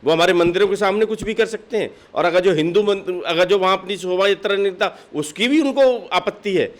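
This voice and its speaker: A man's voice: sits high, a little fast. A man giving impassioned interview answers as a political official, insistent and argumentative, often firing off rhetorical questions. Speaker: political party district official being interviewed.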